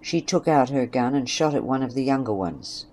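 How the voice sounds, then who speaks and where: A woman's voice: rumbling low, expressive, moderate pace, darkish tone, low-quality recording. A woman narrating a scripted western story. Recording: faint background noise and ambience.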